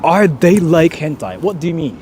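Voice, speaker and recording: A man's voice: a little high, sing-song, normal speed, a little mellow, slight background noise. A man, chatting casually on a live stream and reading viewer donations, rambling and joking with lots of "like.". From Japan. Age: 30s. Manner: rambling, joking, heavy use of "like".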